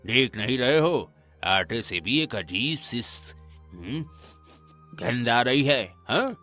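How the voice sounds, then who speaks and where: A man's voice: medium pitch, animated, pushed and bright, soft background music. A man voicing a kindly, solemn old grandfather in a village cartoon, drawing out his words. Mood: suspicious. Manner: drawn-out, measured delivery.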